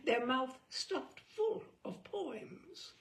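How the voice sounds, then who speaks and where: Woman, humorous audiobook-style reading, lively swooping intonation, crackly low-end fry, deadpan wit, dramatic pauses. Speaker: reader of a humorous book. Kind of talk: theatrical read-aloud of dry comic prose.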